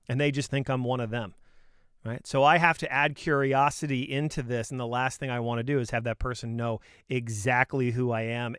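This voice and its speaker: A man's voice: medium pitch, a bit bright, clear, resonant tone, no room sound, clean studio sound. A man coaching on sales in an informal, opinionated talk, speaking emphatically and conversationally with punchy rhetorical questions.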